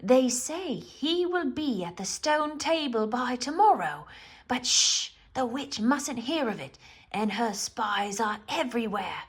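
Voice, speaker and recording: A woman's voice: a little high, expressive, slow, husky, voice pushed up, exaggerated voice. A woman voicing a motherly female beaver in a storybook, urgent and secretive. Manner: hushed, urgent.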